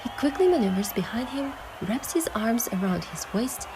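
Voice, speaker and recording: A woman voicing an excitable, playful young heroine in love, in a romance game with first-person storytelling. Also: fairly high pitch, moderate pace, background noise, close to an everyday voice. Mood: narrating, calm. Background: music.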